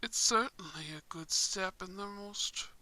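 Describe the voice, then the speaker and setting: Vampire prince played by a woman, suave, smug and courtly, very slow formal delivery, strikingly bright tone, roleplay audio. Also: medium pitch, dry recording, voice pushed down, poor audio. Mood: teasing, smug. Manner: formal, measured, suave phrasing. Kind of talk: scripted roleplay ASMR.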